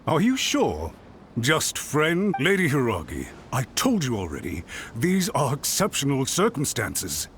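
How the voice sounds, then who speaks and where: Anxious game retainer, animated pitch, suspicious, fretful, formal, accusatory. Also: male voice, measured pace, a little hiss or hum, exaggerated voice. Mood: wary, insistent. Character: anxious, suspicious male clan retainer, highly strung. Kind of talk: video game voice acting.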